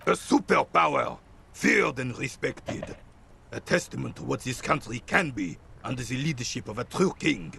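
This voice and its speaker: An actor playing a rigid, proud old royalist soldier in a video game, with a raspy voice and a pompous, emphatic delivery. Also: male voice, medium pitch, lively intonation, normal speed, sharp tone, a little hiss or hum, exaggerated voice. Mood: proud, fervent. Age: older. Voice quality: gravelly, raspy.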